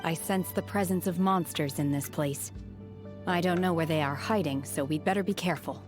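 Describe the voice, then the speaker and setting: An actress playing an aloof, composed young woman in an anime video game, speaking in a calm, formal, detached way. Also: fairly low pitch, normal speed, close to an everyday voice. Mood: wary. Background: background music.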